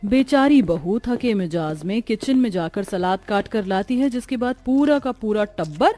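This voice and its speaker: A woman narrating a scripted cartoon story in an expressive storytelling manner. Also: medium pitch, moderate pace, no room sound, soft background music.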